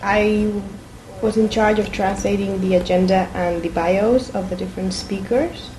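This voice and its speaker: Calm woman interpreter, mellow dark tone, faint room noise behind. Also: medium pitch, moderate pace, rough recording. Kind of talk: documentary interview.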